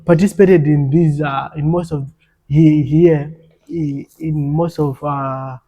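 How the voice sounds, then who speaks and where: A man's voice: on the high side, steady tone, takes it slowly, warm tone, breathy edge, low-quality recording. A young African man talking earnestly in a casual podcast conversation, often repeating and restarting his words.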